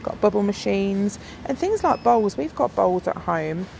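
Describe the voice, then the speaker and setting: A woman's voice: mid-range voice, very animated, unhurried, creaky, a little mellow. A middle-aged woman chatting casually as she films aisles in a discount shop on her phone, thinking aloud with lots of ums. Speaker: shopping vlogger. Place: inside a large discount shop. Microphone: phone held while filming. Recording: noisy shop ambience.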